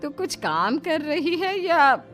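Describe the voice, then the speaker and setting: Lady doing bossy village saas for a cartoon, loud exclaiming, high n very animated, music behind. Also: slow pace, heavily acted. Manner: exclaiming, calling out loudly. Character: bustling bossy village mother-in-law with aching legs.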